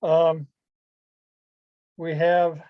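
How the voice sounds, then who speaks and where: A man giving an informal online presentation to club members, explaining things in a halting, rambling way over a video call. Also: a little high, little pitch movement, smooth, dry recording. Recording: muffled lo-fi video call audio.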